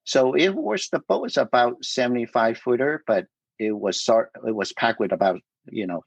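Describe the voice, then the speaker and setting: A middle-aged man from Vietnam whose first language is Cantonese, telling his life story in a casual interview, halting with many fillers and restarts. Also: medium pitch, expressive, creaky, slightly rough, dry recording, rough recording. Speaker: guest.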